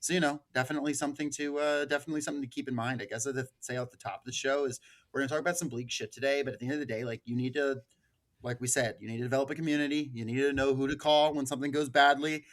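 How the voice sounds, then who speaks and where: A man's voice: medium pitch, steady tone, rapid-fire, fairly bright tone. A man hosting a podcast, talking casually with a panel. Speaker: podcast host.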